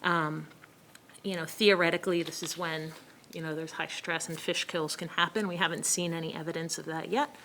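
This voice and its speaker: A woman's voice: sits low, fairly even intonation, moderate pace, pushed and bright, a little hiss or hum, rough recording. A woman presenting a city lake monitoring update at a public meeting, explaining steadily with frequent ums. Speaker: city environmental analyst giving an update.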